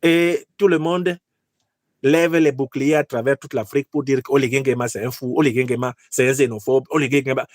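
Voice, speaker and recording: A man playing an insecure, sheepish military president in a satirical skit, halting and repeating his phrases as he confesses and pleads. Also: mid-range voice, fairly even intonation, no room sound, clean studio sound, pitched below the performer's own voice, natural voice. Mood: aggrieved.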